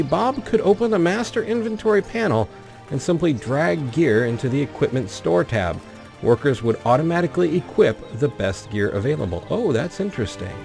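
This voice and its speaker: A man's voice: mid-range voice, vocal fry, a little mellow. A man hosting a gaming video, commenting on play and reading the game's story text aloud. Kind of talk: let's play commentary mixed with reading in-game narration aloud.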